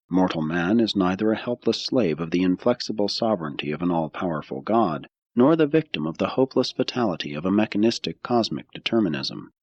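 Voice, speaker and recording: A man reading a scripted audiobook of religious philosophy in a measured, solemn, deliberate way. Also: sits low, slightly rough, no echo, highs cut off. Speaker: audiobook narrator.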